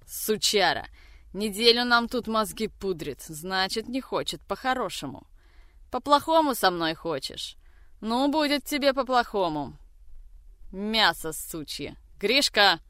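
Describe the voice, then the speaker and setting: Woman doing a cruel older mother figure, vicious, shrill, dry close sound. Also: lively intonation, measured pace, bright, forceful tone, no echo. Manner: shrieking, vicious threats. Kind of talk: audiobook reading.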